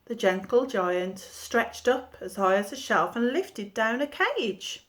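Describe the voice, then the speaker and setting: A woman teacher reading a children's storybook aloud in an expressive storytelling manner. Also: a little high, slow pace.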